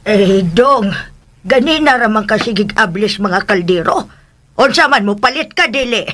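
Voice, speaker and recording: Very high, sharp, scolding Visayan vendor. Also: male voice, expressive, a little slow, exaggerated voice. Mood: irritated, dismissive. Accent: Philippine, Visayan. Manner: curt, scolding, dismissive. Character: brusque, distrustful food stall vendor. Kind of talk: radio drama acting in Cebuano.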